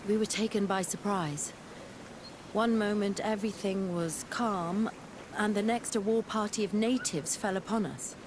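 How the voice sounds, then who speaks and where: A self-assured female scholar from a fantasy video game, voiced by an actress. Her voice sits at a middle pitch, wry, guarded and articulate. Ambient noise is clearly audible behind her. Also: unhurried, lightly acted. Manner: sardonic, articulate, formal. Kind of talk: video game cutscene acting.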